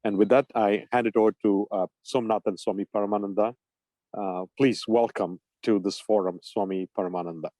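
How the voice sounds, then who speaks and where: Mellow, lowish-voiced male event host. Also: fairly even intonation, brisk, dry recording. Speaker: host introducing a guest speaker. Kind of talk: formal, appreciative introduction.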